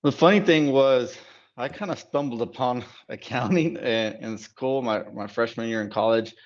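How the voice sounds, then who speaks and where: A man who grew up in Dallas, a partner at an accounting firm, talking in a relaxed, reflective way with many fillers on a video call. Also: mid-range voice, normal speed. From Dallas, United States. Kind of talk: casual panel talk on a video call. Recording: dull lo-fi call audio.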